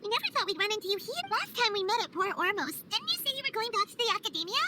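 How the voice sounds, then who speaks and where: A woman voicing a small, childlike, excitable fairy companion in a video game, earnest and exclamatory. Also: extremely high pitch, rapid-fire, heavy background noise. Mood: startled, wary. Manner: refers to self in third person, exclamatory. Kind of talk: video game cutscene voice acting.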